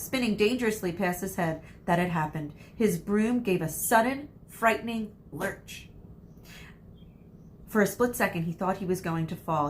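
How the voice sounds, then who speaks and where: Woman reading a storybook with dramatic emphasis. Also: medium pitch. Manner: dramatic emphasis, pauses for suspense. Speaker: read-aloud storyteller, teacher. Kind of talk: expressive storybook narration. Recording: faint background noise.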